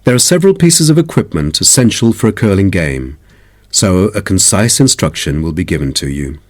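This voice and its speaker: A man's voice: low voice, very animated, a little fast. A man playing a polite sports club expert, giving a formal, instructive scripted talk.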